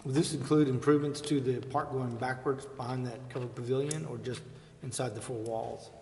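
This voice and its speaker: A man's voice: medium pitch, steady tone, a little fast, darkish tone, slight background noise. A man chairing a city council meeting, going through formal procedure in an echoey chamber. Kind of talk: formal meeting procedure, reading agenda items. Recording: echoey hall, long reverb.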